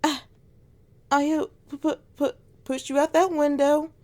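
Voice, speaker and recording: A woman's voice: high-pitched, flat delivery, measured pace, a little mellow. A woman playing a teasing alpha she-wolf who is scared of heights and easily flustered, in a romantic ASMR roleplay. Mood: teasing, defensive.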